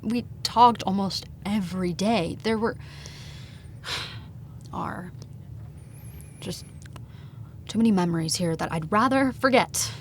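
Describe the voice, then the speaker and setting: An actress playing an anxious, grieving young woman in an audio drama, halting and incredulous, often sighing. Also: medium pitch, slight background noise, natural voice. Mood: subdued, grieving. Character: anxious, grieving young woman, defensive about her dead father.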